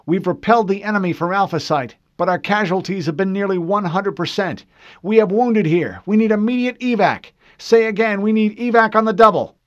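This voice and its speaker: A man doing a high, strained marine corporal. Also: expressive, normal speed. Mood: urgent. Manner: urgent, shouted radio reports. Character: desperate male marine corporal under siege. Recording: dull lo-fi dry recording.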